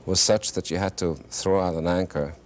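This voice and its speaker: A man, an actor and singer whose first language is German, telling stories in a reflective, halting way with wry humor in a television interview. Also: deep voice, steady tone. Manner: thoughtful, halting with many restarts, wry humor. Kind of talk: reflective conversational storytelling. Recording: faint hiss, 1970s TV studio.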